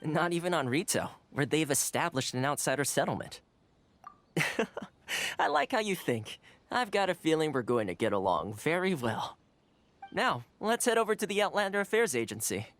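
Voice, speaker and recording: A man's voice: fairly high pitch, very animated, moderate pace, fairly bright tone. A man voicing an affable young local fixer in a video game, breezy, persuasive and politely teasing. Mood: explanatory, easygoing.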